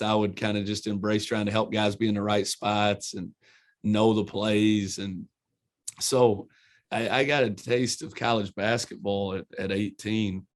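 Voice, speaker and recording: Low, flat-voiced Eastern Kentucky coach. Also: male voice. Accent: Eastern Kentucky country. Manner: folksy, earnest, repeats words as he restarts. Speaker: college basketball head coach. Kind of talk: casual interview answers.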